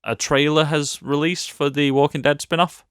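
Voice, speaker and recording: A man's voice: on the high side, little pitch movement, normal speed, fairly bright tone, clean tone, no echo, clean studio sound. A man co-hosting a podcast, chatting informally and jokingly about films and television. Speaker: podcast co-host. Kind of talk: casual film and TV chat.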